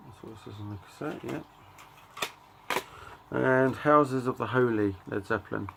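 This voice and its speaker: A middle-aged man giving a casual show-and-tell of his collection, talking in a halting, offhand way and reading out titles in short bursts. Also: mid-range voice, vocal fry, background noise. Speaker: record collector and channel host.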